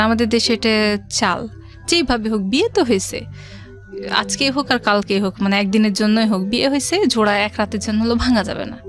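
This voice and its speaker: A middle-aged woman telling her life story as a studio guest, conversationally, repeating and rephrasing as she goes. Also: on the high side. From Rajshahi, Bangladesh. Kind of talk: personal life-story narration. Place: radio studio. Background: music.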